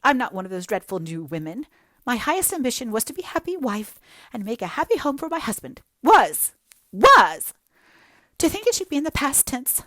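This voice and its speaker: A woman's voice: a little high, very animated, fast talker, no room sound, pitched above the performer's own voice. A woman reading a book aloud and voicing a melodramatic, self-pitying young woman with gushing, theatrical talk. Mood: wailing. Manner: gushing, theatrical, breathless overstatement.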